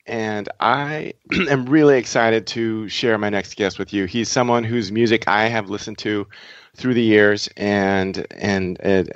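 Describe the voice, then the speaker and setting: A man's voice: fairly low pitch, no echo, dull audio. A man hosting a podcast, speaking in reflective monologue and introducing guests. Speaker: podcast host.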